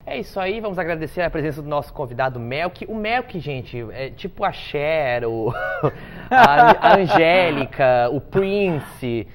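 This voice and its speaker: Young male Brazilian talk host, playful and joking, fairly high, very animated pitch. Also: unhurried. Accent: Brazilian Portuguese. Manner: joking, teasing. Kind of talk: casual interview chat.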